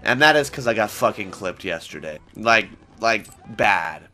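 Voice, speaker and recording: A man's voice: lowish voice, expressive, unhurried, bright, forceful tone. A young man in his twenties with a cold and a congested voice, rambling and swearing as he hosts casual gameplay commentary into a headset in a small room at home. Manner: rambling, swears often, frustrated outbursts. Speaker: gaming channel host.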